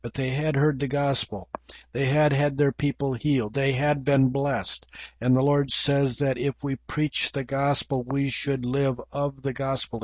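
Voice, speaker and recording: An older man with an English accent gives a slow, reflective Bible teaching, pausing often as he thinks aloud. His voice sits at an ordinary male pitch. The sound is dry and close, with no room around it. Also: no room sound. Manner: measured, reflective, with frequent pauses and fillers. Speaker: preacher.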